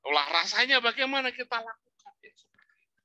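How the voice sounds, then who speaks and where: Older man, professor giving a campus talk, very bright tone, storytelling lilt. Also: very high-pitched, quick pace. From Indonesia. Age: 60s. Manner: storytelling, lively rising emphasis, religious exclamations. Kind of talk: informal religious study lecture.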